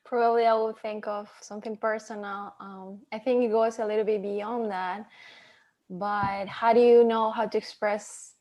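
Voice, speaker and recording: A woman from Peru, a podcast host chatting as a guest, hesitant with many pauses and laughs. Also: mid-range voice, flat delivery, mellow, lo-fi sound. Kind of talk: casual interview chat.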